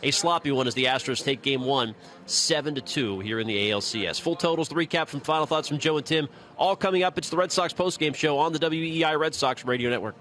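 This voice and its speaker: A man's voice: fairly high pitch, quick pace, a bit bright, faint music behind. A man hosting a baseball postgame radio show, recapping the game in a conversational broadcaster's delivery. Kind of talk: sports postgame recap and commentary. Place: ballpark broadcast booth.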